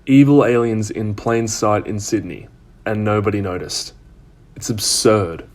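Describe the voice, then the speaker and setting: A man acts an astonished human companion in a fan-made audio drama. His voice is on the low side, and he comes across as questioning and sceptical, struggling to believe what he hears. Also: fairly even intonation, unhurried, a little mellow. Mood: incredulous. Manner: questioning, incredulous.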